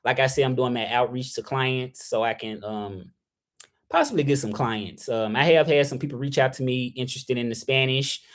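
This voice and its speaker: A man's voice: medium pitch, normal speed. A young adult man from Memphis with a Southern accent, talking loosely in a casual livestream about his work as an interpreter, full of "like" and "you know". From Memphis, Tennessee, US South. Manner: loose and rambling, with many fillers, "like" and "you know". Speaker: freelance interpreter hosting a livestream.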